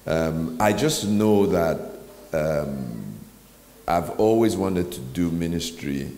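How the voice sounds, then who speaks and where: A pastor with a very low voice answers onstage questions thoughtfully. He pauses often with ums, and his voice rings through a large hall with a long echo. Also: mellow. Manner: reflective, with frequent ums and pauses. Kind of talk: onstage interview. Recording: echoey hall with faint noise.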